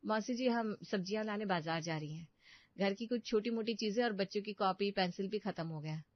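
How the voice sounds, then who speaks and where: A woman performs a dutiful young daughter-in-law in a cartoon household story. She speaks at a middle pitch with earnest, respectful manners. The recording is very dry and somewhat dull. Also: fairly quick, no echo, close to an everyday voice. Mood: polite. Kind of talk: Hindi family cartoon story voice acting.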